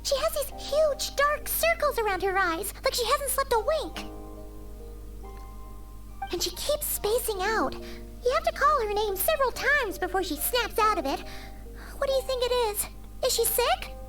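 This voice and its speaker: A woman voicing a small, childlike fairy companion in a video game, excitable and caring, with a squeaky voice and music behind her. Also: measured pace. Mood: worried. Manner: refers to self in third person, animated.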